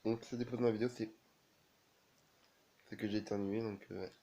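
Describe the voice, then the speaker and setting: Man with a cold, low flat voice. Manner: halting, many fillers and restarts. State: has a cold, sneezing. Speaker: gaming video creator. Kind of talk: casual game commentary.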